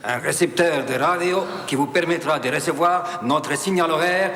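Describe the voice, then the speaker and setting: An actor playing a gracious Argentine government dignitary making a measured, ceremonious banquet speech in an echoey, noisy hall. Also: male voice, fairly high pitch, bright, forceful tone. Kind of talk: formal banquet speech in film drama.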